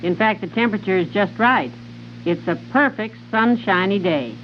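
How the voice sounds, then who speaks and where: Woman as lively wife, muffled radio. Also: medium pitch, normal speed, close to an everyday voice. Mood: cheerful coaxing. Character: cheerful, patient wife playfully coaxing her husband. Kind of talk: old-time radio comedy acting. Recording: muffled, noisy vintage radio, studio audience.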